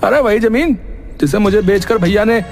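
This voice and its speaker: A man playing a greedy, self-righteous brother-in-law insisting on his claim in an animated moral story. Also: sits high, moderate pace, soft background music. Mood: aggrieved, demanding.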